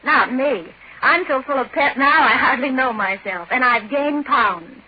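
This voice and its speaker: A woman's voice: sits high, unhurried, husky. A woman playing a delighted, bubbly customer in an exclamatory 1940s radio commercial. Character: peppy, delighted female tonic customer. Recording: muffled 1940s radio recording.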